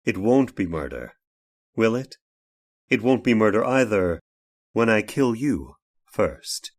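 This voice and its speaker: An actor playing an anguished, vengeful man in an old time radio horror drama, speaking haltingly with dramatic pauses. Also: lowish voice, very animated, heavily acted. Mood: menacing. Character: anguished man turned vengeful robot, narrating his horror tale. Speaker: narrator and lead actor.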